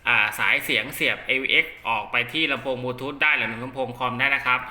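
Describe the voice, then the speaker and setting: A man's voice: medium pitch, steady tone, quick pace, pushed and bright, reverberant. A man casually demonstrating equipment step by step, chatty and polite, like a seller testing a product for a buyer.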